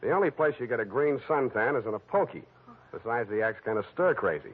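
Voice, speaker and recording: Fast-talking, wry hard-boiled private eye. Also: male voice, medium pitch, animated, husky. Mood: dry, sardonic. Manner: sardonic, clipped wisecracking. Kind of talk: 1940s radio detective drama acting. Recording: tinny, band-limited old radio recording.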